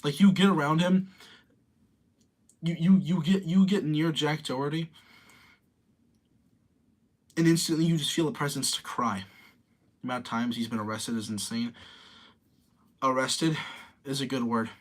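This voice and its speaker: A man's voice: a little high, fairly even intonation, normal speed, long pauses. A young man in his late teens, casually rambling through commentary and reading viewer comments aloud. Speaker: streamer reading chat.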